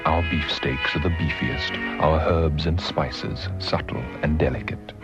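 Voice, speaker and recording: A man's voice: a bass voice, measured pace. A man narrating a vintage radio commercial in a salesmanlike style. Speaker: advert announcer. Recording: dull old radio ad, loud music.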